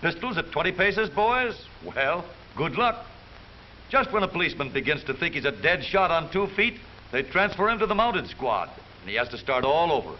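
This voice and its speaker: A man's voice: on the high side, big pitch swings, moderate pace. An American man narrating a 1930s newsreel from a script, with a jaunty, theatrical, wisecracking delivery. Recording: muffled, hissy 1930s newsreel soundtrack.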